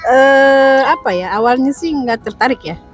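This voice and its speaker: Woman, notably high voice, casual guest chat, music in background. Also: measured pace. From Indonesia. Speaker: interview guest.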